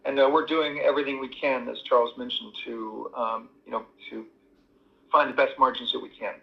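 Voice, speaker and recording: A man answering on an earnings call as a finance executive, measured with a few fillers. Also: medium pitch, steady tone, gravelly, highs cut off.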